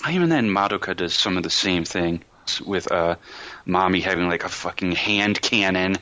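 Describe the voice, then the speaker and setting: A man's voice: deep voice, moderate pace, pushed and bright, no room sound, highs cut off. A man talking casually and emphatically as a podcast host, explaining things with colloquial enthusiasm. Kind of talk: casual anime discussion.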